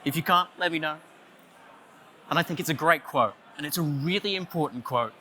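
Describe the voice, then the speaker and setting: A man with an Australian accent giving a playful conference talk, loudly joking and chatting with the audience. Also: a little high, fairly quick, slight background noise. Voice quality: loud voice. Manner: jokey, playful, chatty with the crowd. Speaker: conference speaker. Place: conference stage, audience on headphones.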